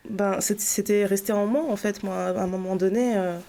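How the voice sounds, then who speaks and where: Mellow-voiced French woman telling her story. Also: mid-range voice, moderate pace, vocal fry. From northern France. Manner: relaxed, chatty, many fillers and restarts. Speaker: podcast guest. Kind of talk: casual storytelling chat.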